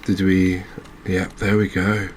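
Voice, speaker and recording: Man, low pitch, flat steady delivery, soft ASMR gaming commentary, gentle and measured, chatty asides. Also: a little hiss or hum. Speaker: host playing a fishing video game.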